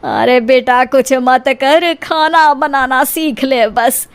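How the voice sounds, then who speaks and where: A woman's voice: sits high, takes it slowly, clean tone. A woman voicing a proud, nagging middle-aged mother-in-law in a cartoon family story. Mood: exasperated.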